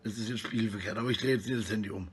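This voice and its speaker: A middle-aged man with a husky voice rambles casually and coarsely in slang, talking to his phone at arm's length indoors. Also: lowish voice, steady tone, very fast, very noisy. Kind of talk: rambling casual selfie monologue. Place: small indoor room at home.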